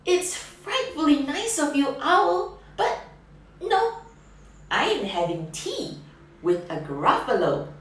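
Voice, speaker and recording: A woman doing a bright, cheeky little mouse. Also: high-pitched, very animated, slow, pitched above the performer's own voice, heavily acted. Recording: echoey room, distant mic, faint ambience.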